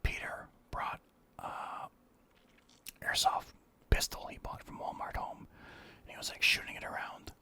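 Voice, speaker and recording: Man, whispering, rambling stories, bright airy sound. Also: unhurried, pauses often. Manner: soft whisper, rambling anecdotes. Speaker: storyteller. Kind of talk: whispered ASMR storytelling.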